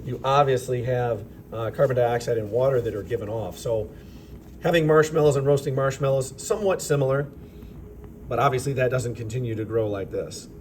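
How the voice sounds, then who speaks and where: Slightly raspy male chemistry teacher. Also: medium pitch, fairly quick, a little hiss or hum. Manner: relaxed, explanatory, thinking aloud with fillers. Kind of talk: informal lab demonstration narration. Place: school chemistry lab with vents. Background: ventilation fans running.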